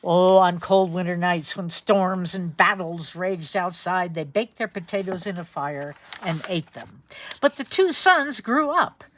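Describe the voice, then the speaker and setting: A woman reading a children's picture book aloud in an expressive storytelling manner. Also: fairly low pitch, measured pace. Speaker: storybook reader.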